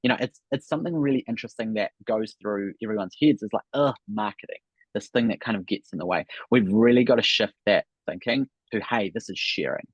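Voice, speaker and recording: Husky, low-voiced Kiwi man coaching. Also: a little fast, dry recording, dull audio. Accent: New Zealand. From New Zealand. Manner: upbeat, repeats "right?" and "really, really". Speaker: business coach. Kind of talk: webinar coaching talk.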